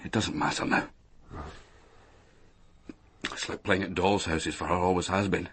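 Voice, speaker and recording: An actor playing a weary, lonely middle-aged businessman and ex-soldier in a radio drama, speaking haltingly and repeating himself. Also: deep voice, steady tone, fairly bright tone, a little hiss or hum. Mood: resigned, bitter. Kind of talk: naturalistic radio drama acting.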